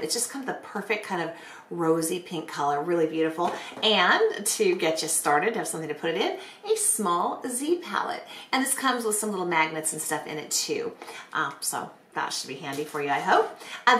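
Middle aged lady doing a chatty beauty vlog, bright voice w some hoarseness, echoey bedroom. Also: mid-range voice. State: hoarse from bronchitis. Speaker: beauty vlogger hosting a giveaway. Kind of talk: casual chatty product presentation to camera. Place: small bedroom, indoors. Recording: roomy, echoey bedroom sound.

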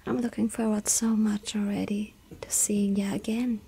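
A woman voicing a clingy, lovestruck young female vampire in an ASMR roleplay, whispering softly throughout. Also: medium pitch, little pitch movement, moderate pace, vocal fry, a little hiss or hum, natural voice. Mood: tender whisper.

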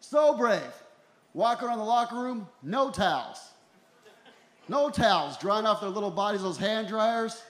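Male comedian, 40s, slightly high voice, deadpan and self-deprecating stand-up delivery, live and echoey. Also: unhurried. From Ecuador and El Salvador. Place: small comedy venue in a tent, on stage. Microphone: handheld stage microphone. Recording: roomy live comedy club sound.

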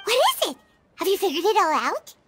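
A woman voicing a small, impatient, childlike fairy companion in a video game, with a squeaky voice. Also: very animated, fairly quick, music in the background. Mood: resigned, curious.

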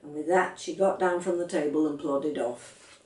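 A woman's voice: sits low, normal speed, mellow. An older woman reading a children's story aloud in a living room. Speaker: storybook reader. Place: small living room, indoors. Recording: roomy, distant microphone.

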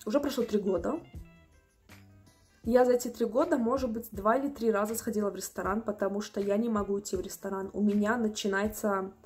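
A young woman in her twenties telling a story to the camera from a small room at home, animated and indignant. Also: mid-range voice, a little fast, faint music behind. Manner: animated, indignant, acts out customers' voices. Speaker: vlogger.

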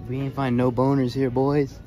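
A man's voice: mid-range voice, little pitch movement, normal speed, dark tone, soft background music. A teenage guy vlogging on a handheld phone in a big store, joking and hyping with his friends. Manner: joking, hyped banter with friends. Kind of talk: casual handheld vlog. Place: large store and gym locker room, indoors. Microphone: handheld phone camera.

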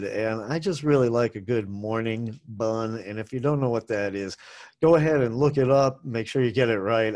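A man's voice: mid-range voice, a little mellow. A middle-aged man talking through a food review in a loose, rambling way, correcting himself as he goes, into a desk microphone at home. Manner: rambling, chatty, with self-corrections and asides. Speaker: food vlogger. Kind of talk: casual food review monologue. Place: small home room with posters. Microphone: desk microphone on a boom arm, webcam framing.

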